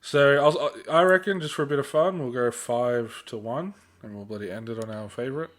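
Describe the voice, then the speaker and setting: A man's voice: medium pitch, fairly quick, a bit bright. Young Australian man chatting casually. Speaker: podcast co-host. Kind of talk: casual chat.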